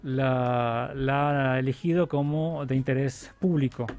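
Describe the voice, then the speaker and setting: A man's voice: medium pitch, fairly even intonation, a little slow, darkish tone. A man answering in a casual radio interview as a film director, searching for words and repeating them. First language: Spanish.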